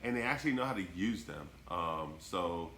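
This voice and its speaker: Deep-voiced congested man, echoey room. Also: lively intonation, moderate pace, a bit bright, a little hiss or hum. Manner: laid-back, lots of "you know" and "um". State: congested from a cold. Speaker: podcast co-host. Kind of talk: casual sports podcast chat.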